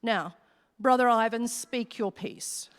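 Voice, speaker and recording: A woman's voice: on the high side, slow, clear, resonant tone. A woman playing a wicked female devil who chairs a meeting, formal and declamatory, in a rhymed radio play. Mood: stern, rousing. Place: large hall, live stage performance. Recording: reverberant hall recording.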